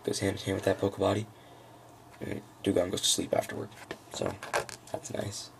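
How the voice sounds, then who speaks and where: A man with a very low, heavily creaky and froggy voice presents his hobby cards casually. He talks off the cuff, halting and thinking aloud. There is faint noise in the recording. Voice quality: froggy, gravelly. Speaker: trading card hobbyist presenting a deck. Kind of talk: casual unscripted card-by-card walkthrough.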